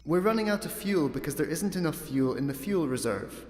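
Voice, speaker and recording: An actor playing a literal-minded, deadpan escape pod computer in a sci-fi comedy radio play. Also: male voice, fairly high pitch, brisk, faint music behind, lightly acted. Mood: matter-of-fact. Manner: deadpan, literal, matter-of-fact. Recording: echoey, long reverb on voice.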